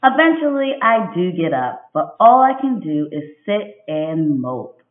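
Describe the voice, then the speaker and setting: A woman's voice: lowish voice, a little slow. Woman reading as a dramatic, moody little storybook girl. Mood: glum. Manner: expressive, emphatic storybook delivery. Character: moody, dramatic little girl telling her miserable day. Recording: dull, roomy home webcam recording.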